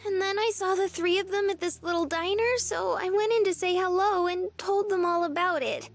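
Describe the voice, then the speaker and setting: Casting: girlish young woman, extremely high voice, naive, bubbly and apologetic, visual novel, music underneath. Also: normal speed. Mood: earnest, excited. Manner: girlish, earnest.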